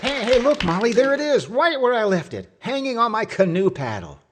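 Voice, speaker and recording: A man's voice: very high-pitched, very animated, fairly quick, heavy background noise. A man playing a blustery, boastful husband who spins tall tales in old-time radio comedy style. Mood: eager. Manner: blustery, wisecracking, alliterative patter.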